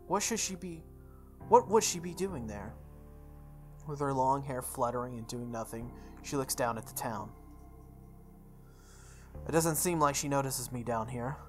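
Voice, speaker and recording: A young man reading a visual novel aloud as a let's play host, breaking into casual animated commentary. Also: medium pitch.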